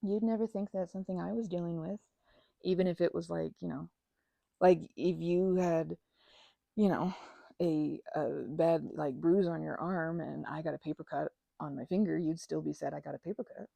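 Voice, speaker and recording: Rambling woman with a low, creaky voice. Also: steady tone, moderate pace, dark tone, no room sound. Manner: rambling, self-interrupting, informal and conversational. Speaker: ASMR roleplay performer playing a chatty best friend. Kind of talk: ASMR roleplay, casual one-sided friendly chat.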